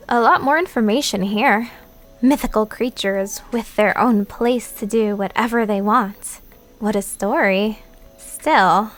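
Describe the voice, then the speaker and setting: A woman's voice: mid-range voice, fairly bright tone. A woman playing a skeptical, intrigued narrator who thinks aloud informally in an audio drama. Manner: hesitant, self-questioning.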